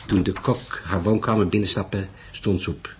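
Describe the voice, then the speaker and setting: A man's voice: low voice, fairly even intonation, moderate pace. A man reading a crime novel as an audiobook narrator, in scripted storytelling style. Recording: faint background noise.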